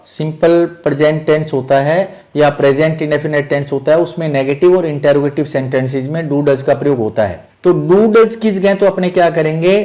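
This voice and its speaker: A man's voice: a little high, darkish tone. A man with an Indian accent explaining grammar as a teacher, methodically repeating each rule step by step.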